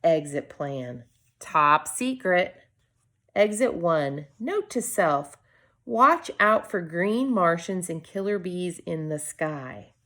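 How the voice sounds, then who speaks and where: Slow-paced woman reading a children's story. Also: fairly low pitch. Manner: expressive, deliberate dramatic pauses between short phrases. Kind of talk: storybook read-aloud with brief asides to viewers.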